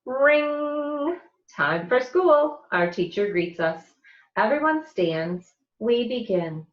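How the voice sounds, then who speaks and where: A woman with an American accent reads a children's picture book aloud as a teacher, expressively and deliberately, explaining as she goes. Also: fairly high pitch, very animated, roomy sound, muffled recording. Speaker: teacher reading aloud to second graders.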